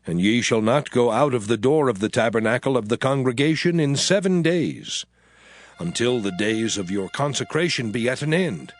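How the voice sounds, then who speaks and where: An actor playing Moses, a stern aged lawgiver giving solemn commands in a dramatized scripture reading. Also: male voice, medium pitch, animated, moderate pace, dry recording, natural voice.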